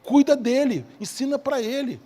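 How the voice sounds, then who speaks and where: A man with a Rio de Janeiro Brazilian accent and a husky voice preaches an evangelical sermon emphatically, repeating key phrases and asking rhetorical questions. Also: very high voice, moderate pace, dark tone. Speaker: preacher, bishop. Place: church service in a large hall. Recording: reverberant hall sound.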